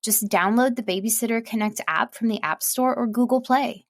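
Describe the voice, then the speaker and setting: A woman delivering scripted voice-over narration as a voice artist, articulating clearly and reading in a measured way. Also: a little high, no echo, studio quality.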